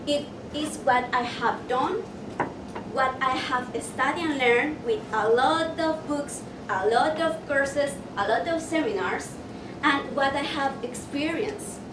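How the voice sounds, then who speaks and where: A woman from Ecuador, presenting a motivational workshop and asking her audience questions. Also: high voice. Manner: slow and deliberate, asks the audience questions. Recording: echoey room, mic far off, noisy.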